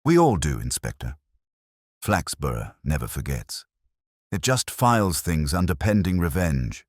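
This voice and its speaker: Man voicing an anxious, husky, embittered townswoman. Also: a bass voice, very animated, a little slow, no room sound, studio quality, exaggerated voice. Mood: hesitant, bitter. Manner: hesitant, defensive, hushed. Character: anxious, bitter, guilty small-town woman.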